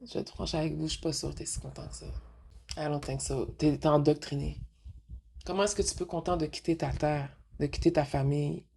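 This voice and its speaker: A woman with a Québécois accent talks frankly in a loose, rambling monologue, hopping between French and English in the middle of her sentences. Her voice sits low and carries a husky, breathy edge. She pushes her points with rhetorical questions. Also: steady tone, brisk, warm tone. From Quebec. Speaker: radio show host. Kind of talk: casual monologue.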